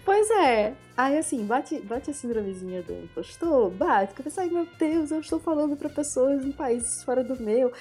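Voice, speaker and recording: A woman podcast host talking casually, pausing to search for words. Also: high voice, expressive, mellow, breathy edge, faint music behind. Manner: conversational, searches for words, drawn-out fillers.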